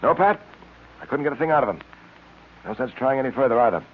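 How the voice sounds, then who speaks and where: A husky male detective, played by an actor in a vintage radio serial. He is clipped, self-assured and commanding, heard through a tinny, narrow old recording. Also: medium pitch, lively intonation, fast, natural voice. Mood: matter-of-fact. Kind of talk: 1940s radio acting.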